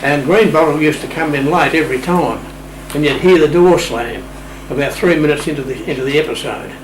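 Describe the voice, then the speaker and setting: A man's voice: a little high, a little fast. An Australian man being interviewed, chatting and telling stories in a discursive, unscripted way. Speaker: interview guest. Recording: roomy, distant mic, loud room hum.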